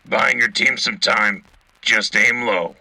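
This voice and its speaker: A man playing a gruff, sardonic soldier who co-hosts a wartime radio show in a blunt, husky voice. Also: mid-range voice, takes it slowly, bright, forceful tone, no room sound, heavily acted, rough recording. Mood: wry. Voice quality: gravelly, husky. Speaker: radio co-host. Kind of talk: in-character military radio commentary.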